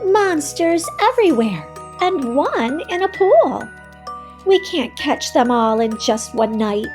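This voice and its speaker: High-pitched, unhurried woman reading to children. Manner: animated, instructive, addressing young children directly. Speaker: host reading picture books aloud to children. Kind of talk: children's storybook read-aloud.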